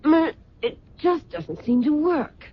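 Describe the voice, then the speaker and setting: An actress playing a vain, fretful socialite wife in a theatrical, genteel old-time radio drama style. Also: female voice, high-pitched, sing-song, takes it slowly, exaggerated voice. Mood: distressed, fretful. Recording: muffled, noisy old radio recording.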